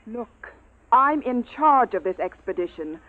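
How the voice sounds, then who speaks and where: A woman playing a stern, dignified expedition commander in a 1950s science fiction radio drama, formal and brisk. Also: on the high side, big pitch swings, narrow, tinny audio. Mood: stern, exasperated. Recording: thin, band-limited old radio recording.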